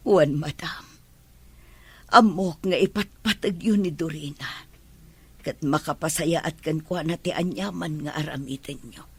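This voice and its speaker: A woman playing a humble, patient household maid, gentle and deferential, with a breathy voice, in an Ilocano radio drama. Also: deep voice, a little slow, exaggerated voice. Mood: respectful, subdued.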